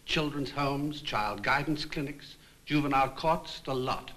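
An actor playing an articulate British psychiatric nurse, gravely narrating a case history in a 1950s film. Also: male voice, a bit bright, close to an everyday voice. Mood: grave, explanatory. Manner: measured, grave, emphatic. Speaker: hospital staff member recounting a case history. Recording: faintly rumbling 1950s film soundtrack.

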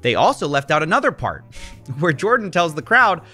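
A man's voice: fairly high pitch, sing-song, normal speed, sharp tone, faint music behind. A man in his thirties giving investigative commentary in an incredulous, emphatic way at a close studio mic. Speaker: video presenter investigating scams. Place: small indoor studio. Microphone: close desk microphone.

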